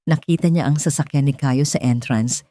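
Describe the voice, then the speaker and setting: A woman's voice: very deep voice, moderate pace, warm tone, no echo. A woman storyteller giving a scripted, dramatic narration of an emotional tale.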